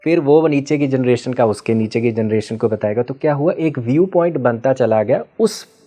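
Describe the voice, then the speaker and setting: A man's voice: medium pitch, normal speed, warm tone, faint music behind. A man as the interview guest, patiently explaining ideas and often checking in with the listener, mixing in English terms. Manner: patient teacherly explaining with frequent checking questions; mixes Hindi with English terms. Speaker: guest expert on ancestral healing.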